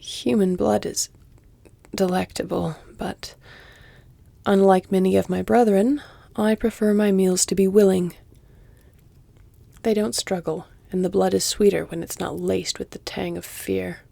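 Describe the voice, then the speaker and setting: Woman as a creaky-voiced, flirtatious ancient vampire lady. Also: mid-range voice, level tone, dark tone, no room sound. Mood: assured, flirtatious. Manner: measured, teasing, formal, slipping into breathy near-whispers. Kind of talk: intimate audio roleplay, scripted dramatic acting. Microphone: close, dry studio-style mic.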